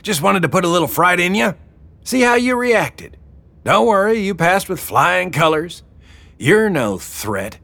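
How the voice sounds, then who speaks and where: Guy doing a big bossy older lady for an audiobook, high pitched, folksy n coarse, loves to mock. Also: big pitch swings, unhurried, vocal fry, pushed and bright, dry recording, heavily acted. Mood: gleeful mockery. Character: brash, bellowing, very large older woman ringleader, mocking.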